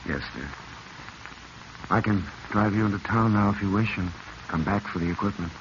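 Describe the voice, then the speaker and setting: An actor playing a scheming, greedy American murderer in a 1940s radio drama. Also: male voice, on the low side, normal speed, close to an everyday voice. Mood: feigned grief. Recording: dull, noisy vintage radio recording.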